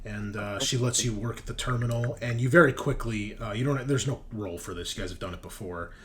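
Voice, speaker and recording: A man narrating a tabletop roleplaying game as game master, improvising in a halting, conversational way. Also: medium pitch.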